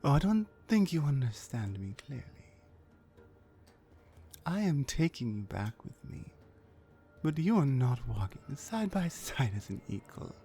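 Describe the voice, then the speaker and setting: A dominant orc warrior woman, acted by a woman for an ASMR roleplay, speaks very slowly and deliberately. Her pitch swings widely as she mocks and threatens, sometimes sinking into a whisper. Music plays behind her. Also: big pitch swings, warm tone, exaggerated voice. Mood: menacing. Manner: menacing, mocking, slow and deliberate, often dropping to breathy whispers. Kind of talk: ASMR roleplay, slow dramatic monologue.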